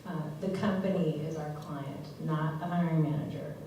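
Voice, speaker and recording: A woman moderating a live panel, brisk and encouraging as she recaps points. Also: low-pitched, warm tone, highs cut off, a little hiss or hum. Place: event hall with audience. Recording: echoey hall from far off.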